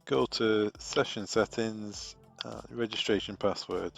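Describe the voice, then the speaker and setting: A man with a British accent, a product trainer talking through a software configuration step by step, relaxed and thinking aloud with fillers. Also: lowish voice, measured pace, vocal fry, faint music behind.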